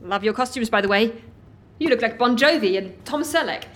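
A woman's voice: a little high, expressive, a little fast, fairly bright tone. An actress playing a polite, resourceful woman asking strangers for help, courteous and apologetic, in a naturalistic audio drama.

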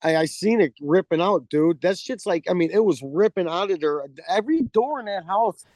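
A man's voice: high voice, animated, moderate pace, a little mellow, dry recording, studio quality. A man, a paranormal investigator, telling rambling stories in casual chat, full of swearing and acted-out quotes. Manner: profane, rambling, acts out quotes.

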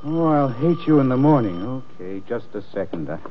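An actor playing a suave, witty, flirtatious gentleman adventurer in a 1950s radio drama, urbane and wry. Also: mid-range voice, very animated, normal speed, natural voice. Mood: wryly charming. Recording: tinny, hissy old radio recording.

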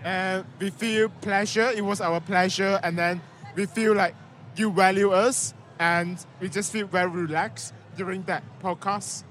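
A man from Thailand, in English, speaking softly and simply outdoors. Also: high-pitched, steady tone, measured pace, a little hiss or hum. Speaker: podcast guest. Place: outdoor street festival.

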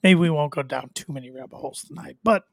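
A man's voice: fairly high pitch, quick pace, vocal fry. A man co-hosting a wrestling review podcast, talking casually and a little nasally because he is fighting a cold. He rambles with filler words and restarts. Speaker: podcast co-host.

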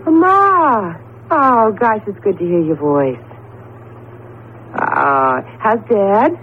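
Brisk wife on tinny old radio. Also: female voice, mid-range voice, slight background noise. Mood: concerned. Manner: playful scolding, expressive, affectionate. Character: affectionate, teasing, brisk professor's wife. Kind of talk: 1970s radio drama acting.

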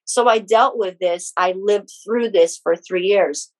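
A woman's voice: mid-range voice, a little slow, poor audio. A middle-aged woman from the Philippines, a podcast guest speaking casually and frankly about her own life in personal anecdotes. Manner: chatty, frank, personal anecdotes with small laughs. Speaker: guest, spiritual teacher and author. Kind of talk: casual conversational storytelling.

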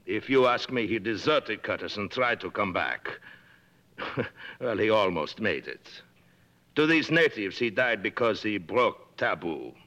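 A man's voice: on the low side, expressive, measured pace, pushed and bright, dull audio. An actor playing a shifty, murderous outlaw trader in an old radio drama, evasive and insinuating, drawing out his phrases. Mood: insinuating, uneasy. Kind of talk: 1950s radio drama acting. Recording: old radio broadcast recording.

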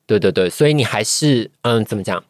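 A man's voice: on the low side, expressive, a little fast, no room sound, studio quality. A man with a Taiwanese Mandarin accent, reflecting in a podcast interview and often searching for his words. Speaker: guest, voice-acting trainee.

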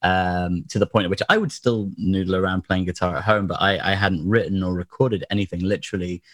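A man with a very low, slightly hoarse voice chats as a guest, circling his points with fillers and restarts. The sound is dry and close, with no room around it. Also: fairly even intonation, a little fast, no echo. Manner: rambling, lots of fillers and restarts. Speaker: podcast guest. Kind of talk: casual chat.

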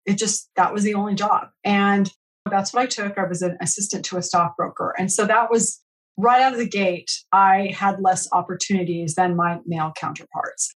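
Middle-aged woman, earnest and reflective, podcast interview guest, measured way of talking. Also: medium pitch, steady tone, rough recording. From California.

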